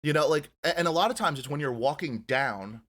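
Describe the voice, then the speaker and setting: A man with a bright, forceful voice rambles through a casual chat. His pitch jumps up and down a lot as he swears and restarts his sentences. He talks loosely, like a friend telling a story. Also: lively intonation, brisk. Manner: rambling, profane, self-correcting. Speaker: podcast co-host.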